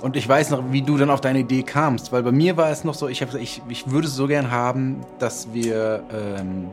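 A man who is an author talks casually, thinking aloud with halting restarts. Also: medium pitch, fairly even intonation, soft background music. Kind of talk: casual interview talk.

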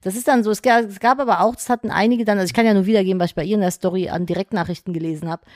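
A woman's voice: mid-range voice, fairly even intonation, rapid-fire, no room sound, clean studio sound. A woman co-hosting a podcast with her husband, speaking bluntly and with strong opinions, swearing casually. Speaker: podcast co-host. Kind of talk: casual chat.

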